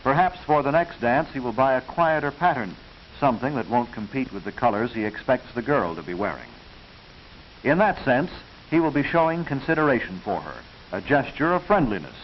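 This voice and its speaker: Male narrator, American, mid-century educational film style. Instructive, proper, expressive intonation, dull hissy vintage audio. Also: medium pitch. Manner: instructive, proper, measured enunciation. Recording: hissy, muffled 1940s film soundtrack.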